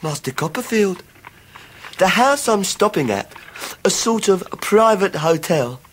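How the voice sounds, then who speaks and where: A man's voice: high voice, expressive, measured pace, slight background noise, poor audio. A man playing a falsely humble, scheming young clerk in a radio drama, with an obsequious, self-abasing manner. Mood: ingratiating. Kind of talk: 1990s radio drama acting.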